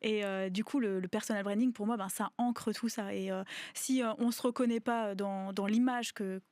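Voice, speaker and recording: A woman hosting a casual panel discussion, bubbly and quick, laughing often between points. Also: medium pitch, fairly even intonation, darkish tone, no room sound. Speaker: podcast host, entrepreneur.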